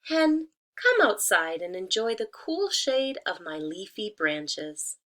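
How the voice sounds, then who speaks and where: A woman voicing a sly wolf posing as a talking apple tree in a children's storybook, with a slow, coaxing, emphatic delivery. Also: medium pitch, sing-song, pushed and bright, heavily acted. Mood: sly, inviting. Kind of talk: children's storybook read-aloud.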